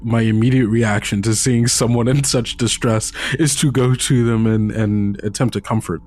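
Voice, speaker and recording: A man's voice: medium pitch, steady tone, normal speed, darkish tone. A man playing a tabletop game, hesitant, full of restarts and filler words. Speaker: player. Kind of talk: tabletop roleplay chat.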